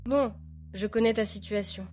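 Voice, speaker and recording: An actress dubbing a practical, matter-of-fact young bride. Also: female voice, medium pitch, normal speed, darkish tone, lightly acted.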